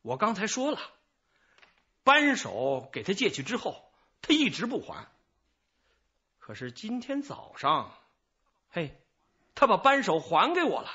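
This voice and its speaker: An actor playing a chatty, evasive middle-aged informant in a radio drama, rambling, sighing and excusing himself. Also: male voice, sits high, huge pitch swings, unhurried, pauses often, sharp tone, slightly rough, highs cut off, heavily acted. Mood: animated, confiding. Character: garrulous, evasive male small-time informant, self-excusing.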